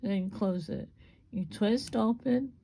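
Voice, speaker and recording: A woman with a gravelly, hoarse voice demonstrates a small craft step by step. Her talk is relaxed and unscripted, with plenty of repeats as she works. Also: medium pitch, slow pace. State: voice going hoarse. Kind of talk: casual hands-on craft demonstration.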